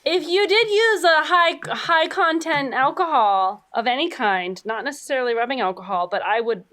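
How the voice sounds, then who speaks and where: A woman's voice: high voice, animated, moderate pace, a bit bright. A woman chatting casually and comedically as a podcast panelist, in a rambling way.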